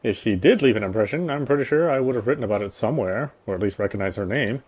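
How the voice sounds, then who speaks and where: A man's voice: lowish voice, lively intonation, quick pace, a little mellow, husky. A man reading visual novel narration aloud during a playthrough, sometimes stumbling and rereading a phrase. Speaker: gamer reading story text in a playthrough.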